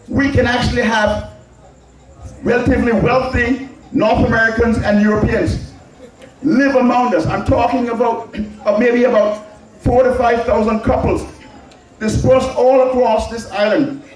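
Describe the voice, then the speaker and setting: A man with an Anguillan Caribbean accent giving a political public address in an emphatic, deliberate manner, repeating phrases for stress. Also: very high-pitched. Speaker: public speaker addressing a community. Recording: very echoey public address, distant mic.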